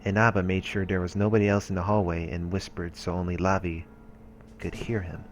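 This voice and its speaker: A man's voice: sits low, flat delivery, brisk, a little hiss or hum. A man hosting a let's play, reading visual novel text aloud with muttered, musing asides. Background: game background music.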